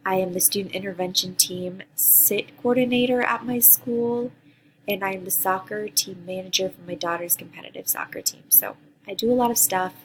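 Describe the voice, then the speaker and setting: A woman's voice: medium pitch, moderate pace, a little hiss or hum, low-quality recording. A woman from Costa Rica, a teacher in her thirties, giving an earnest, partly read introduction for a graduate course. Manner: earnest, reads prepared answers with some ums. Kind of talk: reading a course introduction video.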